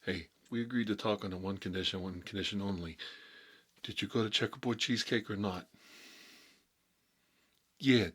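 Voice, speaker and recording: A man playing a cagey, cocky mob boss in an ASMR role-play, talking unhurried in needling tough-guy street talk. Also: lowish voice, a bit bright, breathy edge. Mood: guarded, defiant.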